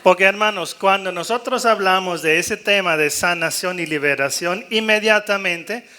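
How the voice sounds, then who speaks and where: High-pitched, bright-voiced male priest preaching. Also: normal speed, a faint crowd. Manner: jokey and animated, mimicking doubtful priests, rallying listeners. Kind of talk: live sermon-style talk to a gathered congregation.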